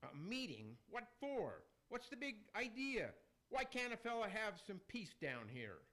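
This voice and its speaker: A man's voice: high voice, huge pitch swings, a little slow, pushed and bright, heavily acted. A man playing a vain, peevish damned soul with a fussy, theatrical delivery, in an amateur radio play. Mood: peevish, indignant.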